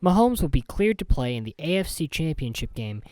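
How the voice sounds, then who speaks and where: A man's voice: on the high side, very animated, fairly quick, a little mellow. A man working as a sports reporter and play-by-play announcer, with an emphatic broadcast delivery.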